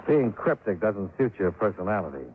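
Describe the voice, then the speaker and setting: An actor playing a dry, wisecracking insurance investigator who narrates a 1950s radio drama. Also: male voice, on the low side, very animated, brisk, a little hiss or hum. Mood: wry. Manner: dry, wisecracking, matter-of-fact. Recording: tinny old radio broadcast with music.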